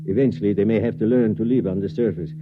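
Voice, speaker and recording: An actor playing a detached, skeptical scientist with a measured, clinical delivery in a 1950s radio drama. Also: male voice, lowish voice, close to an everyday voice. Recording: dull, hissy vintage radio recording.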